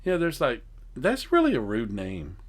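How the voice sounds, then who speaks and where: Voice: mid-range voice, huge pitch swings, moderate pace. A host in casual comedic banter, teasing and often interjecting.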